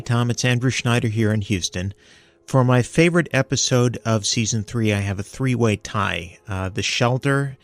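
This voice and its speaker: Low-voiced man, very dry close sound. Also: no echo. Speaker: listener sending in a review. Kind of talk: prepared, articulate commentary.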